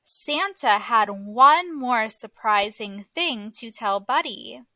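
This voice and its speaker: Woman teacher reading a kids story out loud, real slow and expressive, crisp bright tone. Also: a little high, dry recording. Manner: expressive, deliberate read-aloud delivery. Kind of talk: scripted storybook narration for children.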